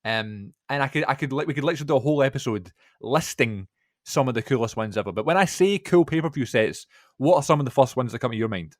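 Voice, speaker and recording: A man's voice: mid-range voice, lively intonation, fast, no echo, clean studio sound. A man, co-hosting a podcast, chatting eagerly and rambling with lots of fillers. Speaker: podcast co-host. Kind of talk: casual chat.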